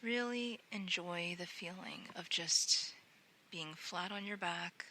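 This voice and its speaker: A woman guiding a sleep meditation in a gentle, soothing, unhurried way, often softening into breathy near-whispers. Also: on the low side, slight background noise. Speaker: meditation guide.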